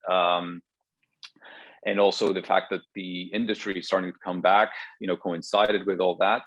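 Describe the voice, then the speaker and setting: A very low-voiced man in his thirties from Greece talks through a guest interview, stopping and restarting his sentences often. The video call audio sounds dull and dry, with no room around it. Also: level tone, moderate pace, a bit bright, dry recording. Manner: hesitant, many restarts and fillers. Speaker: guest, startup co-founder. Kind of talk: remote interview conversation.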